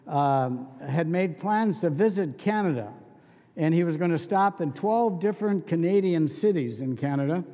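A man's voice: on the high side, dark tone, long reverb tail. A man preaching a sermon as pastor, telling stories in a folksy, conversational way.

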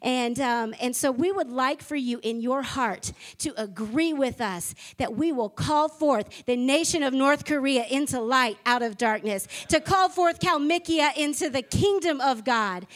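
High-voiced fervent woman preaching. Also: measured pace, hardly any pauses. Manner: fervent, builds to rousing declarations. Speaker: ministry school leader. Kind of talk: church mission appeal.

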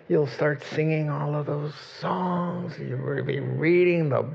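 A man putting on a shrill, mocking inner voice. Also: steady tone, slow pace, creaky, darkish tone, husky, muffled recording, exaggerated voice. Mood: mocking warning. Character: nagging voice of sinful nature, sexless, fearful.

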